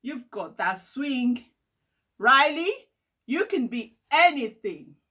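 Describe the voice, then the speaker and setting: A woman's voice: high voice, big pitch swings, slow, sharp tone, breathy edge, heavily acted. A woman voicing an encouraging, upbeat older boy cousin in a storybook, reassuring and enthusiastic.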